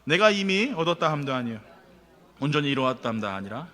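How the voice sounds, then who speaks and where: A man preaches a sermon in a church sanctuary, talking quickly in a bright, high voice. He swings from emphatic peaks to quiet asides. A long reverb from the hall trails his words. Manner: animated preaching, rising emphatic then dropping to quiet asides. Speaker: pastor.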